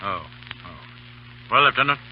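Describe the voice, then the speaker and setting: An actor in an old radio drama playing a stern, middle-aged British colonel, aloof and clipped in manner. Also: male voice, medium pitch, expressive, unhurried, slight background noise, natural voice. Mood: gruff, awkward. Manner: clipped, gruff, commanding. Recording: muffled old radio broadcast.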